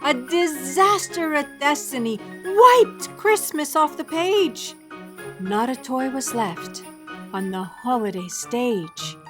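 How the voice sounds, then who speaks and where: Storybook narrator, high and animated, measured dramatic rhyme over clear jingling holiday music. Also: female voice. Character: storybook narrator reciting a rhyming Christmas recap. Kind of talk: scripted rhyming narration. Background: loud jingling Christmas music.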